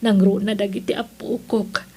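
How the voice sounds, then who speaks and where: A woman's voice: mid-range voice, level tone, normal speed, mellow, slightly rough. A woman playing a frail, lonely elderly mother in an audio drama, hesitant, sighing and apologetic. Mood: lonely, pleading. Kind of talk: Ilocano audio drama acting.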